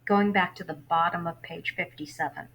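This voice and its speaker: A woman's voice: lowish voice, steady tone, normal speed, fairly bright tone, slight background noise, rough recording. Woman teacher explaining during a read-aloud. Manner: explanatory, addressing students.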